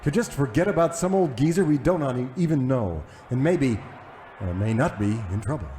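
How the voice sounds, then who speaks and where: An actor playing a noble cowboy leader, speaking earnestly and declaiming in a parody of an old radio serial. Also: male voice, medium pitch, lively intonation, brisk, warm tone, in a large hall, a little hiss or hum, close to an everyday voice.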